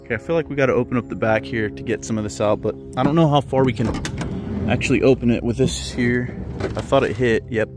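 A man chatting casually outdoors, relaxed and matter-of-fact, explaining things as he goes. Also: mid-range voice, fast, over music. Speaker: vlogger. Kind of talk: casual chat. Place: outdoor parking lot by a vintage car.

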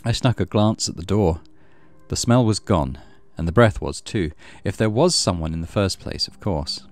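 A man's voice: low voice, normal speed. A man reading a horror visual novel aloud as dramatic first-person narration. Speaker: game streamer reading aloud.

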